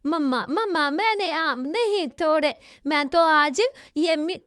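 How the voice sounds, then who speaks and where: A woman's voice: high-pitched, slow, a bit bright, no echo, studio quality, pitched above the performer's own voice. A woman voicing a sly, cheeky young boy crow who boasts and whines in a children's cartoon story. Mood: whiny. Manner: boastful, then pleading and stammering.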